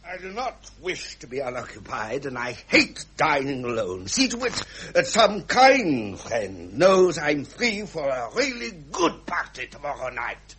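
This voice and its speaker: An actor playing a weary, bored aging English prince with pompous, deliberate upper-class speech in a British radio drama. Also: male voice, on the high side, big pitch swings, a bit bright, a little hiss or hum. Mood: imperious. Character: weary, bored aging royal prince, imperious yet melancholy.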